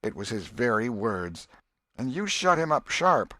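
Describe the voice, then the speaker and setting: An excitable old aunt from the rural American South, acted out by a male audiobook reader, raised in pitch and emphatic. She is pious, gullible and fond, exclaiming and scolding in folksy country talk. The sound is close and dry. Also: unhurried, no echo. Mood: excited, insistent. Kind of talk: audiobook character voice.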